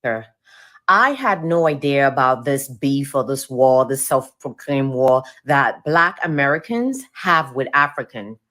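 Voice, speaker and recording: A woman's voice: extremely low pitch, expressive, a little slow, fairly bright tone. A woman from Sierra Leone with a thick Sierra Leonean accent, frankly and exasperatedly telling about her experiences. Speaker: video clip speaker. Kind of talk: personal testimony.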